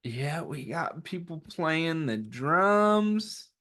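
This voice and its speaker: Animated, slow-paced man reading to kids. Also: fairly high pitch. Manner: animated, encouraging, asks questions and answers them himself. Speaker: children's story time host. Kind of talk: read-aloud and chat to young children.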